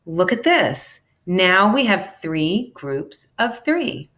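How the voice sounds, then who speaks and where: A woman reads aloud to children like a teacher sharing a book. Her pace is slow and her pitch moves up and down a lot. She is animated and acts out the dialogue. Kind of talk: expressive children's read-aloud.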